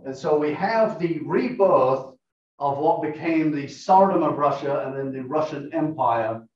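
A man's voice: on the high side, darkish tone, a little hiss or hum. Man lecturing in a very echoey room. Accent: non-American English. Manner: measured, explanatory, with frequent uh fillers. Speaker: guest speaker, professor. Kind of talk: lecture talk with slides. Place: meeting room. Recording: echoey room, distant, dull lo-fi.

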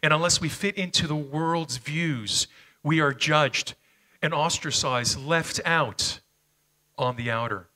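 A middle-aged preacher with a Canadian accent, raised speaking Slovenian at home, shares personal stories from a church stage. His voice is bright and forward, picked up by a headset mic. He talks earnestly and often stops to find his words. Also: on the high side, slow, poor audio. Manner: earnest, personal storytelling with frequent hesitations. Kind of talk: sermon. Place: church stage, medium-sized hall.

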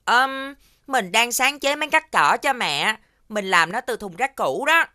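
A woman's voice: a little high, animated, a little slow, sharp tone. A woman playing a cheerful, kindly little magical creature in a children's cartoon, with a childlike, encouraging delivery. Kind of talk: animated children's cartoon dubbing.

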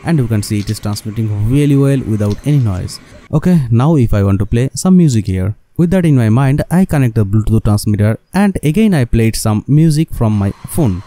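A man with non-native English presents a hands-on tutorial. His voice is mellow and relaxed, and the recording is dry and close. He talks straight to his viewers as he explains each step. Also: medium pitch, brisk, dry recording. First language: not English. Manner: non-native phrasing, addresses viewers as guys. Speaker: electronics hobbyist presenter. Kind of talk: scripted DIY tutorial narration.

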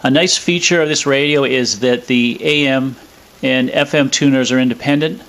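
A man explaining a demonstration in a measured, matter-of-fact way, with a radio playing. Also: mid-range voice, steady tone, a bit bright. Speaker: presenter demonstrating a vintage radio. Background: radio playing music and stations.

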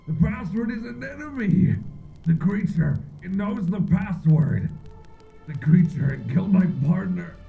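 A man playing a terrified, wounded man pleading haltingly from outside in a horror audio roleplay, muffled. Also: sits high, vocal fry, full character voice. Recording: dull, muffled sound. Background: music.

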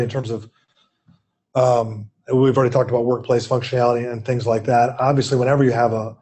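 A man's voice: medium pitch, warm tone. An older man, an investment firm executive, answering questions in a keynote conversation over a video call from his office. He talks thoughtfully and often repeats words while he searches for the next phrase. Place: office at home.

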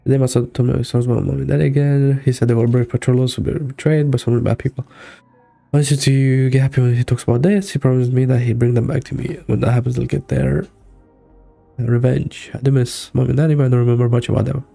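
A man in his thirties gives an offhand game reaction, reading along as he plays. His voice is very dark and relaxed with heavy fry, and soft game music sits behind him. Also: mid-range voice, fast talker. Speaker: streamer. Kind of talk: casual game reaction, reading on-screen text aloud. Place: small indoor room.

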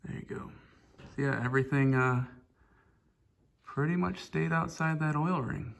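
A man casually showing a chainsaw filter in his garage workshop, talking in a relaxed, rambling way with lots of fillers, recorded on a phone held close. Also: fairly high pitch, a little slow, creaky. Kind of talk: casual hands-on show-and-tell. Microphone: phone camera held close.

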